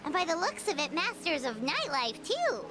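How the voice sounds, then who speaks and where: A woman voicing a tiny, excitable, childlike fairy companion in a video game, squeaky and chatty, full of exclamations. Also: moderate pace, noisy background, full character voice. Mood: curious, musing. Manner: exclamatory, speaks of self in third person. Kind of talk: cartoonish video game voice acting.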